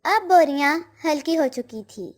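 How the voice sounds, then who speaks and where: A woman's voice: sits high, animated, unhurried. A woman reading a scripted storybook narration for a moral tale.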